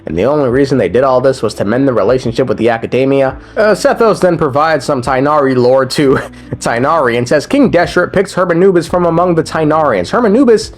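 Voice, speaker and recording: A man's voice: medium pitch, animated, brisk. A man narrating a scripted recap of a video game story in a casual, irreverent style.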